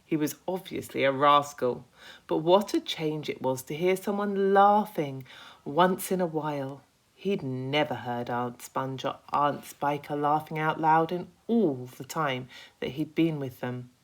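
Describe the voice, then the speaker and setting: A woman reading a children's story aloud as a teacher would, in an expressive narrating style. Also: sits low, measured pace.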